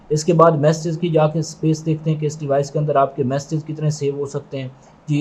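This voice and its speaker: A man's voice: fairly high pitch, darkish tone, rough recording. A man giving product review commentary, explaining methodically and repetitively, step by step.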